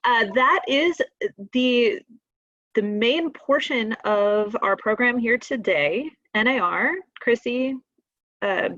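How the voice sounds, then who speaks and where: A woman's voice: on the high side, slow, a bit bright, dry recording, highs cut off. A woman hosting an informal group call in a folksy, encouraging, chatty way. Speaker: host leading the meeting. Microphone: conference call line.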